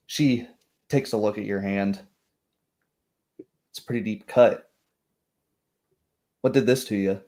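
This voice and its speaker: Man voicing a low-voiced, drily polite temple priestess. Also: fairly even intonation, slow, long pauses, dark tone. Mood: matter-of-fact. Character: female temple cleric, hospitable but drily mercenary.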